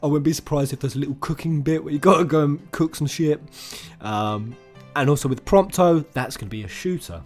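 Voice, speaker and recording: A man with a slightly high voice talks casually as a gaming channel host, with music playing faintly behind him. His manner is excitable and informal, with loose, sweary phrasing. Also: fairly quick. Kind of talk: casual gaming news commentary. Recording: music under the voice. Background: background music.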